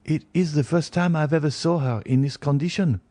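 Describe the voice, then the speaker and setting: A man's voice: on the high side, fairly quick, mellow, no room sound, voice pushed up, natural voice. A man voicing an anxious young noblewoman. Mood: agitated. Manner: pleading, earnest, tearful. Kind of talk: audiobook character reading.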